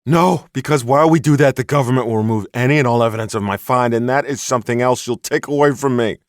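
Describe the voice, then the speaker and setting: A man voicing an excitable, pushy male Bigfoot hunter who talks in a shrill, frantic, indignant way, in an audiobook. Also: quick pace, no room sound, studio quality, natural voice. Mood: exasperated.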